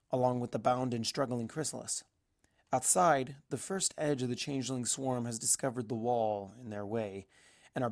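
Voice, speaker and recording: Amateur narrator, medium pitch, first-person story reading, fantasy adventure audiobook style. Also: vocal fry, darkish tone. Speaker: amateur fan fiction narrator.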